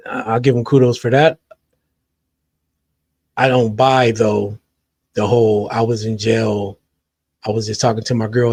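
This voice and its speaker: A man hosting a commentary show, giving his measured, skeptical take and often trailing off quietly. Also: mid-range voice, no room sound. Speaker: commentary host. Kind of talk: opinion commentary.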